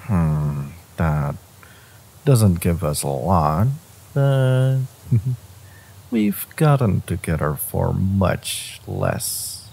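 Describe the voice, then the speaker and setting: A man voicing a smug, possessive, flirtatious fantasy villain in an intimate romance audio roleplay, teasing with hesitant pauses. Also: animated, vocal fry, a little mellow, slightly rough, heavily acted. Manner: teasing, hesitant pauses, frequent low chuckles.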